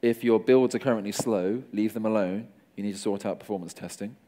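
A man's voice: on the low side, brisk, warm tone. A middle-aged man giving a conversational conference talk on stage, wry and joking with the audience. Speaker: conference speaker.

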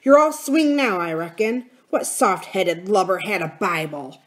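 A woman's voice: medium pitch, a little slow, lightly acted. A woman voicing a cunning, scornful male pirate captain and sea cook in a storybook, with sardonic, folksy sailor talk. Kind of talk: dramatic storybook character reading.

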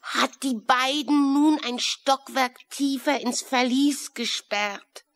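Very high, shrill talking crow. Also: female voice, slow pace, fairly bright tone, exaggerated voice. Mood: agitated, remorseful. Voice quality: cawing, shrill. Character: excitable, clever female talking crow. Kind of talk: children's radio play acting.

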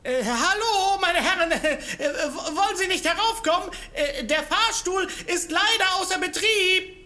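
A flustered eager-to-please secretary in a comedy audio play, high swoopy voice. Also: female voice, lively intonation, a little slow, bright, forceful tone, full character voice. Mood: nervously welcoming. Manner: flustered, hesitant, giggly. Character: nervous, obliging female office assistant at a brokerage. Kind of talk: comedic audio drama acting.

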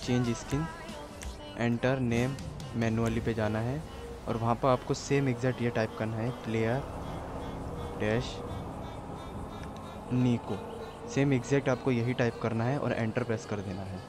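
A man's voice: medium pitch, fairly even intonation, unhurried, pauses often. A man with an Indian accent narrating a casual gaming tutorial step by step, mixing in English words and repeating himself. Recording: loud music behind the voice. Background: loud music.

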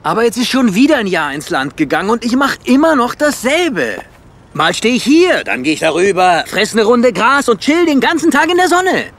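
A man playing a cheeky, restless zebra in an animated film audio play, slangy and excitable. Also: very high-pitched, moderate pace, heavily acted.

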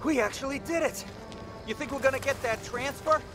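Very high-pitched nervy soldier. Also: male voice, a little fast, over music. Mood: excited. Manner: nervy, questioning, protesting. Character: anxious, chatty, sarcastic young male soldier. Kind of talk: video game dialogue.

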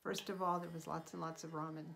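Middle-aged woman, casual vlog host, chatty, unhurried, plenty of ums. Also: low-pitched, flat delivery, creaky, fairly bright tone, a little hiss or hum. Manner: chatty, unhurried, frequent uh and um, light laughs. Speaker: channel host.

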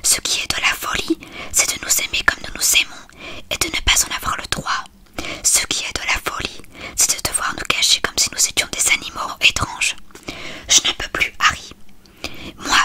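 A reader voicing a desperate, pleading, lovestruck teenage girl from a novel in a breathy whisper. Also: moderate pace, bright, forceful tone.

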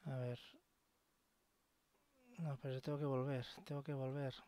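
A man's voice: medium pitch, little pitch movement, moderate pace, very noisy. A man with an accent from Spain giving casual, informal gameplay commentary, swearing and muttering to himself. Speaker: gaming video host. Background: loud game soundtrack.

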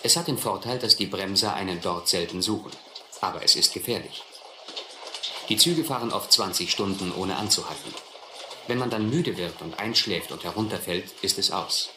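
An actor playing a reflective narrator who recalls his hobo youth in a radio play, measured and literary. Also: deep voice. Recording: roomy, clearly noisy radio play recording.

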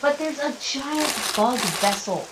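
A woman co-hosting a game let's play in casual chat, a little stuffed up, with excitable bursts. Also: high voice, slow pace, background noise. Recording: game music underneath.

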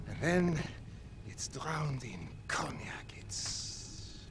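Sadistic, slow-talking German officer. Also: male voice, on the high side, huge pitch swings, a bit bright, a little hiss or hum, full character voice. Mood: gloating, menacing. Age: middle-aged. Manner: mock-courteous, savoring his words. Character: sadistic, genteel middle-aged Nazi officer, menacingly polite. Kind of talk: cinematic war game acting.

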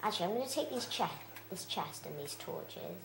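A young girl, chatting casually with lots of fillers as she plays a video game, her voice croaky. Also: medium pitch, animated, brisk, reverberant, background noise. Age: child. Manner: chatty, rambling, many fillers. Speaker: let's play host.

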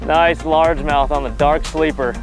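Upbeat man teaching bass fishing on a lake. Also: steady tone, normal speed, music in the background, rough recording. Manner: casual, enthusiastic, many "you know" fillers. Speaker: pro bass angler. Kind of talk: on-the-water fishing tutorial. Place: outdoors on a boat on a lake.

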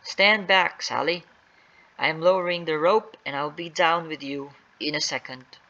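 A storyteller as a protective, gravelly father. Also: medium pitch, normal speed, sharp tone, muffled recording. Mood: steady, directing. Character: calm, protective father coming to the rescue.

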